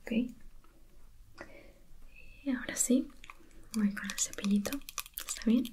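Whispering woman, slow ASMR spa roleplay. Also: fairly high pitch. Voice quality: breathy unvoiced whisper. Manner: gentle, soothing, intimate, reassuring. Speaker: ASMR video creator playing a spa beautician.

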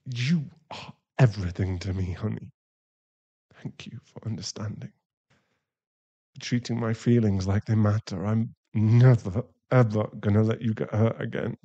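A man acting a remorseful, tender werewolf boyfriend in an intimate ASMR roleplay, halting and emotional, often breathy. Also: lowish voice, steady tone, mellow, muffled recording. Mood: tender, grateful. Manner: halting, emotional, pleading, with sighs and breathy drops into whispers.

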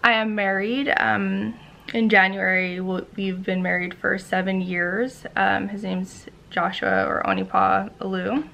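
A woman's voice: mid-range voice, level tone, unhurried, gravelly, pushed and bright, highs cut off. A 25-year-old woman from Hawaii with an American accent, chatting casually to camera in an informal, hesitant way that trails off. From Hawaii, United States. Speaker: vlogger. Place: room in a house, indoors.

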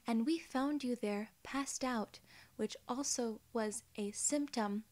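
Woman in her twenties, fairly high pitch, soft ASMR delivery, measured formal wording, polite alien role-play, close mic. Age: 20s. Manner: measured, formal, drawn-out phrasing. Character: young, polite, friendly female alien gatekeeper. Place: small indoor room.

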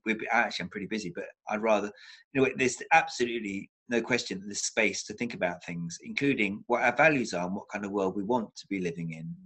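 Older guy, lowish voice, video call from home, lots of restarts and "very, very". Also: fast, a bit bright, breathy edge. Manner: thoughtful, many restarts, repeats words for emphasis. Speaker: psychotherapist guest. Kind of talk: reflective video-call interview. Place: home room with bookshelves. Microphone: laptop webcam on a video call.

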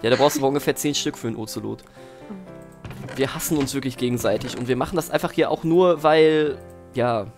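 A man's voice: mid-range voice, fast talker, over music. A young man chatting casually during a Let's Play, full of ironic, teasing banter. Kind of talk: casual joking gameplay chat.

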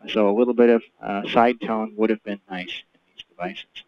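A man's voice: fairly low pitch, fairly even intonation, moderate pace. A man in his forties explaining and demonstrating through an intercom headset that sounds like a telephone. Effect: wireless intercom, telephone-like. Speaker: product reviewer. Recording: dull telephone-like wireless intercom feed.

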